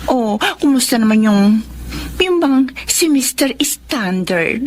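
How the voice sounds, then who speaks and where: Bossy cheeky filipina bestie, radio drama, high n super lively. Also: female voice, takes it slowly. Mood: bossy teasing. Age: 30s. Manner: teasing, bossy. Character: Olive, cheeky, blunt thirty-year-old woman friend. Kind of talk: Tagalog radio drama acting.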